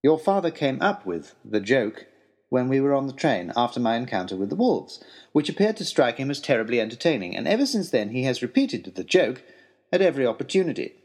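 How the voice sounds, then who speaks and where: A man narrating a scripted first-person story in an expressive, wry, rather formal storytelling manner. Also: mid-range voice, brisk.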